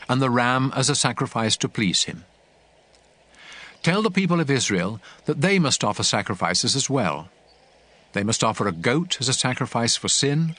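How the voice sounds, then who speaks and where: A man's voice: mid-range voice, fairly bright tone. An actor playing a commanding male prophet, solemn and declamatory, instructing his priests in a dramatized scripture reading. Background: music.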